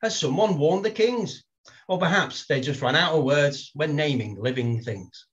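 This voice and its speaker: A man's voice: on the high side, expressive, moderate pace, a bit bright, highs cut off. Wry man reading playful verse. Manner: wry, self-deprecating, reads verse with playful timing. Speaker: poet, guest. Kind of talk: casual chat and poetry reading.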